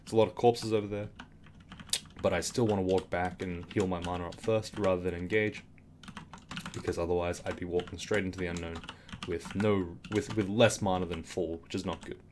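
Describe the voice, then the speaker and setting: Low, slightly raspy male game commentator. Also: brisk, background noise. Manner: relaxed, rambling, with frequent fillers and restarts. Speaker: game tutorial host. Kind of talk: casual gameplay commentary. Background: keyboard clicks.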